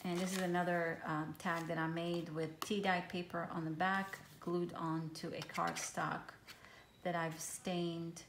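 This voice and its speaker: Low-voiced woman, Hebrew native, echoey room. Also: a little slow. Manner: relaxed, rambling, frequent ums and restarts. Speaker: craft vlogger. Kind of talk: casual show-and-tell of a handmade journal. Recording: echoey room with faint hiss.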